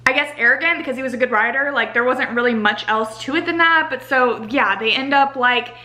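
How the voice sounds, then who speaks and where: A young American woman giving a casual, chatty book review in an animated, exasperated ranting manner, saying "like" a lot. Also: high voice, brisk. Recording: roomy home echo.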